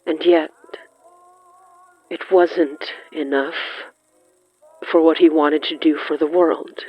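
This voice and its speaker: A narrator reading scripted horror fiction in the third person, brooding and dramatic, with lingering pauses. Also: mid-range voice, steady tone, no echo. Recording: muffled lo-fi sound with music underneath. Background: music.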